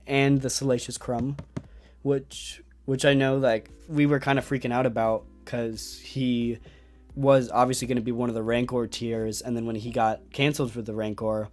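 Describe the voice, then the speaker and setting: Excitable man hosting a toy livestream. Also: mid-range voice, moderate pace, soft background music. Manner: enthusiastic, rambling, many fillers and restarts. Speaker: host of a toy collecting livestream. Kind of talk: casual livestream chat.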